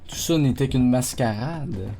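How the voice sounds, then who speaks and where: A man with a Quebec French accent, an excitable streamer swearing often and talking to his chat during live gameplay commentary. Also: medium pitch, unhurried, gravelly, slight background noise.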